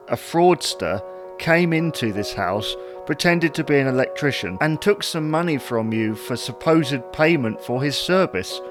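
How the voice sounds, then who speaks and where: A man's voice: fairly high pitch, lightly acted. A man playing a teasing, sceptical husband in a comedy audio drama, wry and reasoning. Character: teasing, sceptical husband, cautious about money.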